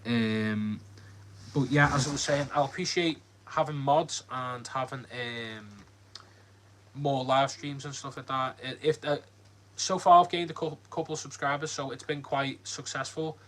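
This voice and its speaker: A man's voice: medium pitch, steady tone, unhurried, fairly bright tone, slight background noise. A man with a Liverpool accent hosting a casual live Q&A, rambling and friendly as he reads out the chat. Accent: Liverpool (Scouse). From Liverpool, England. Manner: rambling, many ums and likes, friendly. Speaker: livestream host. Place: home, lit by a ring light.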